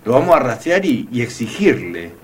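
A man's voice: medium pitch, sing-song, normal speed, breathy edge. A man with an Argentine Spanish accent hosting a radio interview, friendly and teasing. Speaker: radio host.

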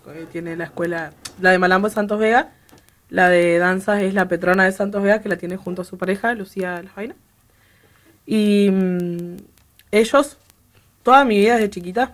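A young woman, chatting as a guest about her family and dance, laughing often and restarting her sentences. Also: lowish voice. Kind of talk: casual chat.

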